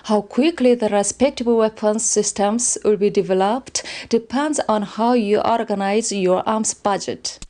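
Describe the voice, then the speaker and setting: A woman's voice: mid-range voice, darkish tone, close to an everyday voice. A woman playing a loyal, formal weapons scientist of an empire, giving orderly briefings in a game cutscene.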